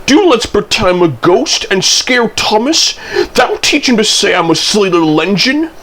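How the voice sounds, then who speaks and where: A man voicing a cheeky, excitable young steam engine in a children's story, with shrieks and drawn-out spooky wails. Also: extremely high pitch, pitched above the performer's own voice, exaggerated voice. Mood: mischievous.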